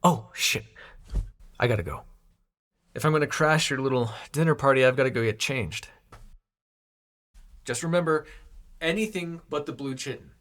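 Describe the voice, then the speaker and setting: A man playing a brash, protective mythic ally in an intimate audio roleplay, talking casually and with gruff affection. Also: medium pitch, normal speed, pauses often, fairly bright tone, close to an everyday voice. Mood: wry, hurried. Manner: casual, sweary, gruffly tender.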